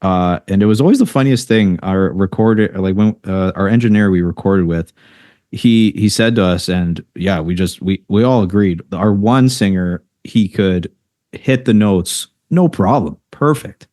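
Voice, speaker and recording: A man with a fairly low voice hosts a podcast, talking in a relaxed, easygoing way and sometimes restarting his sentences. The sound is dry and close. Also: moderate pace, no room sound. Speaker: podcast host. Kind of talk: casual interview chat.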